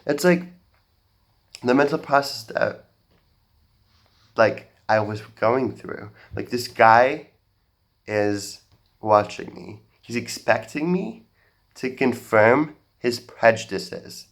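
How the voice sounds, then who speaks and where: Young man, American-sounding accent, earnest stage talk, halting restarts, slow pace. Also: mid-range voice, lively intonation, creaky. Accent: general American. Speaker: speaker at a talk. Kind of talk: public talk, personal story. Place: auditorium stage.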